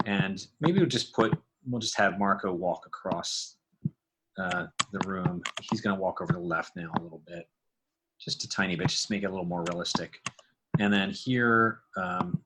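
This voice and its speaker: A man's voice: sits low, normal speed, heavy background noise. A man giving a casual, explanatory tutorial, thinking aloud as a sound design instructor. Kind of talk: casual screen tutorial narration.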